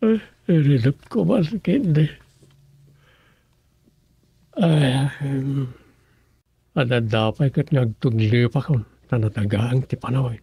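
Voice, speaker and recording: A man with an Ilocano Filipino accent playing a musing, grumbling local man in a radio comedy drama, his voice husky and gravelly. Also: mid-range voice, slow pace, pauses often, mellow, dry recording, exaggerated voice. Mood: muttering.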